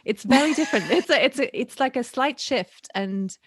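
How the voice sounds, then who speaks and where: A woman's voice: on the high side, lively intonation, moderate pace, breathy edge, dry recording. A woman from Germany with a German accent blended with British and American sounds, hosting a casual podcast interview, laughing often. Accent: German, mixed with British and American. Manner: chatty, laughs often, restarts sentences. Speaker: podcast host.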